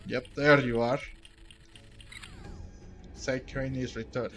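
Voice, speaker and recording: A man giving casual, rambling let's-play commentary as a game streamer, speaking haltingly. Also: medium pitch, flat delivery, a bit bright. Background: game music.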